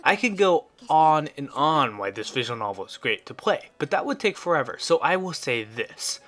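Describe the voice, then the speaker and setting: A man reads a scripted review in the role of a video game critic. His voice sits at a medium pitch, and he delivers prepared narration throughout. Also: a bit bright.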